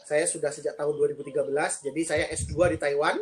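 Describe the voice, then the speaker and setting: A man in his thirties from Indonesia, a guest on a live-streamed interview, explaining things earnestly with frequent pauses. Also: fairly high pitch, little pitch movement. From Lampung, Indonesia. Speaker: guest, PhD student and lecturer.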